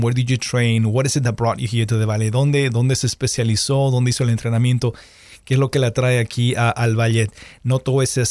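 A man's voice: mid-range voice, darkish tone, no echo. Bilingual male interview host, dry sound. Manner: switches between Spanish and English, repeats questions in both.

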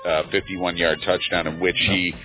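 A man in his fifties, a radio announcer chatting casually about a high school football game. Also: moderate pace. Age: 50s. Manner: relaxed, chatty, with frequent fillers. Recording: tinny phone-like radio line with faint music.

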